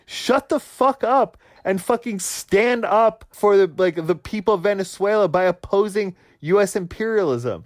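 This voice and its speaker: A man co-hosting a sports talk podcast who argues heatedly and swears constantly, ranting at his brother with forceful conviction. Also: sits high, expressive, normal speed, hardly any pauses, no room sound, studio quality. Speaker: podcast co-host.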